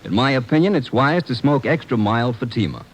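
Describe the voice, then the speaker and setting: A man's voice: mid-range voice, lively intonation, a little fast, slightly rough, low-quality recording. A man reading a scripted testimonial for a 1950s radio commercial. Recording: old radio recording with faint noise.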